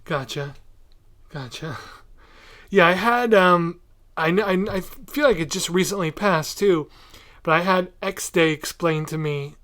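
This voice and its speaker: A man's voice: high-pitched, unhurried, fairly bright tone. A man hosting a podcast in a casual, chatty interview style. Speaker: podcast host.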